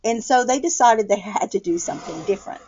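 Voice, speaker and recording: A woman with an American accent reading a picture book aloud to children, pausing to explain things in simple words. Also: mid-range voice, expressive, dark tone, dull audio.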